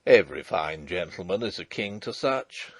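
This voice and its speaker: A man voicing a blunt, guarded old colonel in a low, clipped, gruff voice. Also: lively intonation, normal speed, fairly bright tone, no room sound, full character voice.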